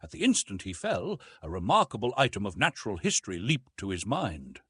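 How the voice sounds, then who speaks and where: A man narrating a classic adventure novel in an expressive, theatrical storytelling manner. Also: fairly low pitch, fairly quick, breathy edge, no room sound. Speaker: audiobook narrator.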